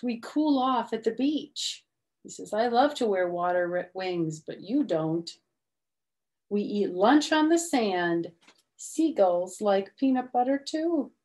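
Woman, middle-aged, unhurried pace, expressive storytime reading, simple chatty asides for little kids. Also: fairly high pitch. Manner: expressive, slow, simple explaining for young children. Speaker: storytime reader. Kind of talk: children's picture book read-aloud with chatty asides. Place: small room at home, indoors.

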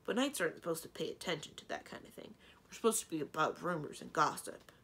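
A woman's voice: deep voice, lively intonation, fast, a bit bright, slightly rough, exaggerated voice. A woman voicing a polite, inexperienced young male knight in a storybook, hesitant and bewildered. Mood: doubtful. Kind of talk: storybook character dialogue.

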